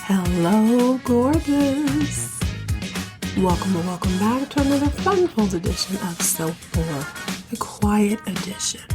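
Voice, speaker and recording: A woman in her thirties from the United States hosting a soap opera recap podcast, speaking in a hushed, half-whispered way because she has partly lost her voice. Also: mid-range voice. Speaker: podcast host.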